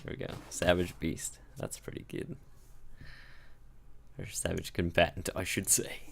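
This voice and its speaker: A man's voice: deep voice, level tone, a little slow, creaky, slight background noise. A man giving casual, laid-back commentary while playing a card game, thinking aloud and chuckling at his own jokes, with game music behind. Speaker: gameplay video creator.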